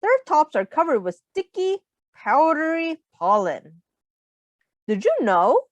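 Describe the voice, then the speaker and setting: Woman reading expressively to children, very animated. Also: sits high, slow, no room sound, dull audio. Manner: instructive, expressive, addressing young children with questions. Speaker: early childhood teacher reading aloud. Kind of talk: children's read-aloud with simple explanations and questions.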